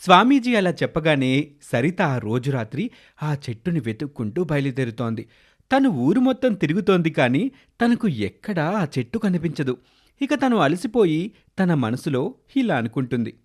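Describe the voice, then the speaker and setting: A man's voice: on the high side, big pitch swings, normal speed. A man narrating a scripted children's moral story.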